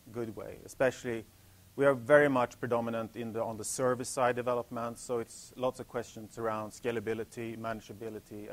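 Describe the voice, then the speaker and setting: A man from Sweden with a Swedish accent, English not his first language, giving a technical conference presentation in a halting way with many restarts. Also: medium pitch. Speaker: conference speaker. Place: conference hall.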